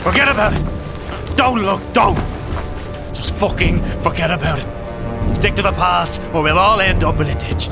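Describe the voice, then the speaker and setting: An actor playing a blunt, urgent rider barking orders in a dark fantasy audio drama. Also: male voice, high voice, a little slow, pauses often. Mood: urgent, alarmed. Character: urgent, foul-mouthed, commanding horse rider. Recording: music behind.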